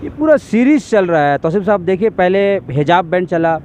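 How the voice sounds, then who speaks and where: A male reporter asking questions in a street interview, halting and restarting his words. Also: sits high, animated, darkish tone, lo-fi sound, a little hiss or hum.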